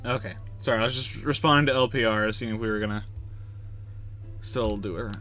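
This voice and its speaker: A man's voice: fairly low pitch, brisk, pushed and bright. A man live-streaming a game, chatting casually and thinking aloud in a rambling way. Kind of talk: casual livestream gameplay chat.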